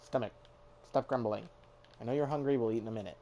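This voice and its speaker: A man's voice: medium pitch, quick pace, dark tone, slight background noise. A young man streaming a game, chatting casually, swearing and mocking himself, now and then singing along. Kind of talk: casual gameplay chat.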